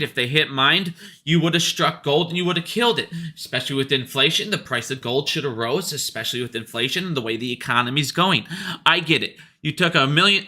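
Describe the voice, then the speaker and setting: A man's voice: a little high, pushed and bright. A man giving a casual, opinionated business monologue like an investor commentator, blunt, emphatic and profane, repeating his points to drive them home.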